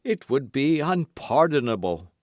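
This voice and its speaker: A man's voice: medium pitch, big pitch swings, measured pace, a little mellow, dry recording, studio quality, pitched above the performer's own voice. A man reading an audiobook, voicing a boastful, scheming musketeer in a raised, grand, wheedling voice. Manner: grand, wheedling, mock-melancholy.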